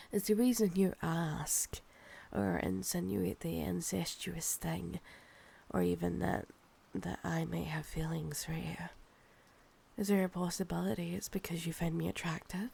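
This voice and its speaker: A woman acts out an ancient Scottish vampire woman for ASMR roleplay, proud, possessive and seductive. She speaks in a low, gravelly voice at a very unhurried pace, intimately teasing and looking down on her listener. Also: fairly even intonation, mellow, full character voice. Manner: slow, teasing, condescending, calls listener darling.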